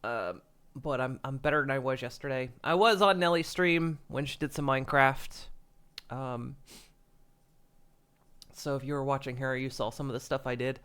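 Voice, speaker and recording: A man's voice: mid-range voice, normal speed, a bit bright, smooth. A congested, stuffy-nosed man chatting casually to viewers as a livestreamer, rambling with frequent chuckles and restarts. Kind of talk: casual stream chat. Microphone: desk microphone.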